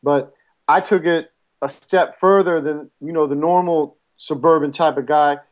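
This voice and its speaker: A man's voice: on the high side, a little slow. A man in his forties from California with an East Coast American accent, frankly and matter-of-factly telling his story as a guest in an interview. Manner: frank, matter-of-fact, relaxed. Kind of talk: candid storytelling in conversation.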